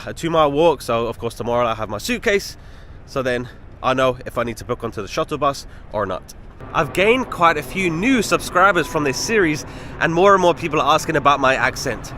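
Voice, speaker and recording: A man's voice: medium pitch, bright, forceful tone, slight background noise. Chatty man vlogging outdoors. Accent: British, often mistaken for American. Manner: chatty, talks to camera while walking. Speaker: travel vlogger. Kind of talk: casual travel vlog narration. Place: outdoors in a city street.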